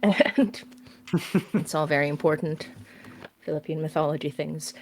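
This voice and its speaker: A woman's voice: deep voice, unhurried, slight background noise. A woman chatting casually on a livestream, bubbly and rambling, laughing often. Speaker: podcast guest, horror writer. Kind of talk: casual stream chat.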